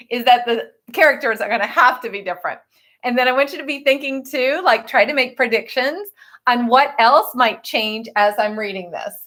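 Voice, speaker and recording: A woman reading a picture book aloud to children in an expressive way, with chatty, teacherly asides to her listeners. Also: fairly high pitch. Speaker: storybook reader for children.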